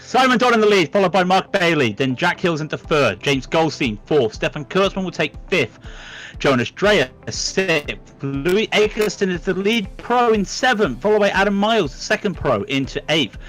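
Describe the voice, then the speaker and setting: A man's voice: high voice, expressive, moderate pace, a bit bright, soft background music. A man doing live sim racing commentary, working through standings and calling out the grid order with frequent fillers. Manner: works out numbers aloud with many fillers.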